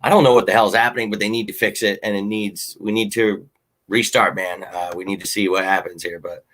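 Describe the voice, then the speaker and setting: A man with a fairly low, slightly hoarse voice talks sports in a casual, rambling way. He hosts a fan show and drifts between opinions with plenty of ums and uhs. He sounds like a fan, opinionated and unpolished. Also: steady tone, a little fast, fairly bright tone. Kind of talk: casual sports talk with viewer comments.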